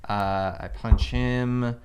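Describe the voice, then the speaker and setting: A man's voice: medium pitch, fairly even intonation, very slow, a little hiss or hum. A man playing a tabletop game, talking casually with the group.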